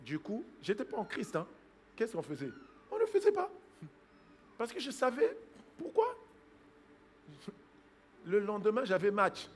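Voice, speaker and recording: A man preaching a sermon as pastor. He swings from hushed asides to loud exhortation, acts out people's excuses in quoted voices and breaks into praying in tongues. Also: high voice, wildly swooping pitch, unhurried, pauses often, slight background noise.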